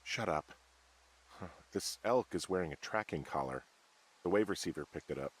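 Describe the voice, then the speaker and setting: An American actor playing a weary, uneasy fire lookout in a video game, speaking plainly in halting, muttered conversation. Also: male voice, low voice, lively intonation, husky. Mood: uneasy, matter-of-fact. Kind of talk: naturalistic game dialogue.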